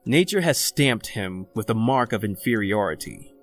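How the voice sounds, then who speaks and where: A deep male voice of an actor playing a self-possessed convict doctor in an old-time radio drama. He is formal, eloquent and theatrical, narrating and speaking like a man of the world. Soft music runs underneath. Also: expressive, moderate pace. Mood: grave, ominous.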